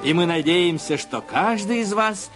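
An actor in an audio drama playing a composed, controlling new husband who reassures and persuades. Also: male voice, high-pitched, expressive, a little slow, music in the background. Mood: announcing. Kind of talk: radio play acting.

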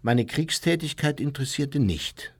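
A formal, unrepentant former Nazi officer , played by a man, recounts his captivity in a radio drama. He speaks in a middle-pitched male voice, measured and matter-of-fact, as if reading out a memoir. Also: slightly rough, natural voice. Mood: detached, factual. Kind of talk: scripted first-person memoir reading in a radio play.

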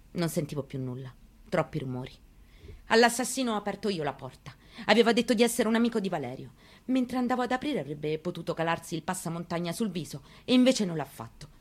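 A fairly low female voice of an actress playing an elderly mother who has lost her son. She delivers a theatrical monologue, intimate and deliberate, grieving yet resolute. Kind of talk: dramatic monologue, theatrical reading.

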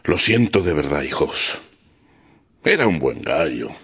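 An actor playing a solemn, consoling father in a radio drama, speaking gravely and haltingly with a husky voice. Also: very deep voice, sing-song, fairly bright tone, heavily acted. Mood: sorrowful, resigned. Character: solemn father, gamecock owner, gently consoling his children.

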